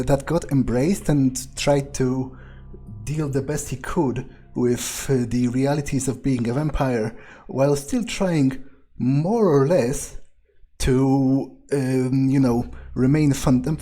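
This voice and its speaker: A man giving a casual, explanatory introduction as the host of a gameplay series, with hesitant pauses and repeated words. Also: mid-range voice, warm tone. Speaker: let's play host.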